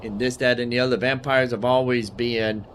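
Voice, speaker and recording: A man's voice: mid-range voice, steady tone, normal speed, fairly bright tone, a little hiss or hum. A man giving casual gameplay commentary in a folksy, rambling way, explaining things and talking to himself. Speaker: gaming host.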